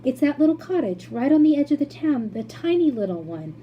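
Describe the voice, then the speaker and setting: A woman voicing an excitable, kind-hearted young boy in a storybook, with an eager, exclamatory manner. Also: high-pitched, brisk, lo-fi sound, slight background noise, pitched above the performer's own voice. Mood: excited.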